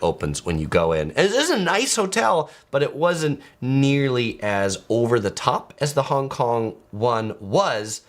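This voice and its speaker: A man's voice: mid-range voice, a bit bright. An American man from California, a travel vlogger chatting casually on a live stream and answering viewer comments, with loose talk full of filler words. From San Diego, California, USA.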